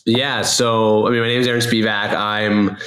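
A man's voice: fairly low pitch, level tone, normal speed, fairly bright tone, echoey room. A man with a Canadian accent talking casually and at length as an interview guest. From Toronto, Canada. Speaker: podcast guest, company co-founder.

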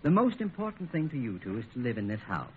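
An actor playing a sensible, put-upon lawyer and family friend, dryly weary and cautioning, in a 1950s radio comedy. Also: male voice, mid-range voice, sing-song, fast, natural voice. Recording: tinny old radio recording, faint noise.

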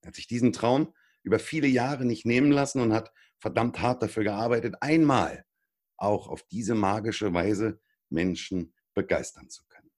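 A man's voice: lowish voice, normal speed, a little mellow. A man hosting a podcast interview, introducing his guest with upbeat enthusiasm. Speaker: podcast host.

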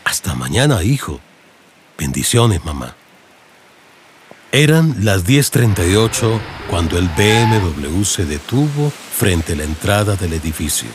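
Expressive Colombian male narrator, lowish voice. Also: unhurried. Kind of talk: scripted audiobook narration, radionovela. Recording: faint background noise and ambience.

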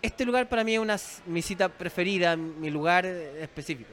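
High-voiced Chilean man hosting. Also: animated, a little fast, a bit bright, slight background noise. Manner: upbeat, playful, laughs often. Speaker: interviewer, host. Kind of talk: casual interview chat.

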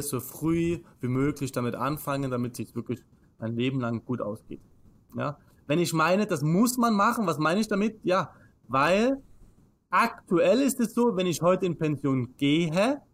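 Austrian man, early thirties, higher-set voice, emphatic explainer who poses questions and answers them himself. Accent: Austrian German. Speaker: financial advisor, interview guest. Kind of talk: explanatory conversation.

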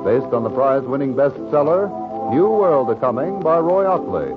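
An American man makes a grand radio announcement in the 1940s manner. His medium-pitched voice sounds dull and dated, with blaring brass music behind it. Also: big pitch swings, normal speed. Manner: grand, declamatory. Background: loud brass music.

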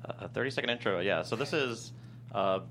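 Low-voiced male writer, halting delivery. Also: a bit bright, slight background noise. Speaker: writer, guest. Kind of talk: casual interview explanation. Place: studio.

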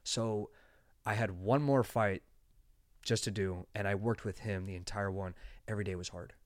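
A young man in his late twenties hosts a casual podcast chat. His voice sits a bit low, and the sound is dry and close. He talks earnestly and rambles, often restarting his sentences. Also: moderate pace, no echo. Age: 20s. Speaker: podcast host.

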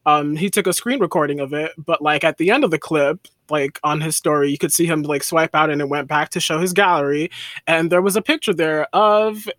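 A man with a high, bright voice co-hosts a casual podcast. He rambles through his stories with plenty of "um" and "like". The sound is dry and close. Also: brisk, dry recording. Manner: chatty, rambling with many fillers. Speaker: podcast co-host.

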